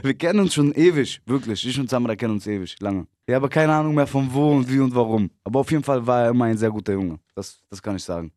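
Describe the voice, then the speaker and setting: A man in his twenties from Berlin, a rapper guest giving relaxed, short answers with easy laughs. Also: medium pitch, fast talker, hardly any pauses, dry recording, studio quality. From Berlin, Germany. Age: 20s. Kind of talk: casual interview chat.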